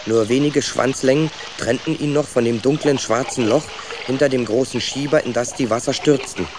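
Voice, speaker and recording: A man's voice: medium pitch, normal speed, close to an everyday voice. Man as curious young rat, noisy ambience. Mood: absorbed storytelling. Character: adventurous, curious, stubborn young male rat narrator. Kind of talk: first-person storytelling in children's radio play. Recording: clear ambient effects behind.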